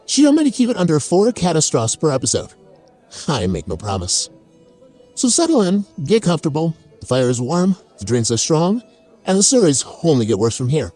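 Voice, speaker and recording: A man's voice: a little high, mellow. A man playing a chaotic, oversharing fantasy tavern keeper who tells tall tales in a theatrical, wry, self-deprecating way. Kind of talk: dramatic first-person comic storytelling.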